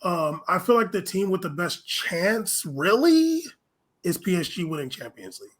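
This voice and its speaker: A man's voice: sits high, sing-song, moderate pace. A man talking casually about sports as a podcast guest.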